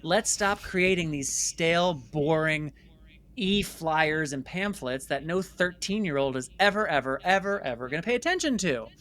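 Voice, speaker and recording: A man's voice: a little high, animated, moderate pace, fairly bright tone. A man speaking as an interview guest in a conversational storytelling style, emphatic and repeating phrases for stress. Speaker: interview guest, filmmaker and former stage actor.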